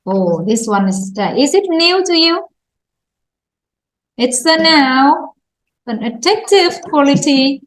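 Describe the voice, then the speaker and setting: A woman's voice: high voice, expressive, takes it slowly, pauses often, reverberant, poor audio. A woman English teacher with a Vietnamese accent, patiently prompting students in an online lesson and laughing often.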